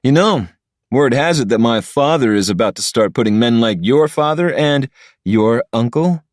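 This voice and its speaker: A man's voice: medium pitch, expressive, moderate pace, a little mellow, no echo, clean studio sound, voice pushed up, lightly acted. A man voicing a smug, pompous young man who sneers and blusters at his rival. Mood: sneering. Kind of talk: audiobook character voice.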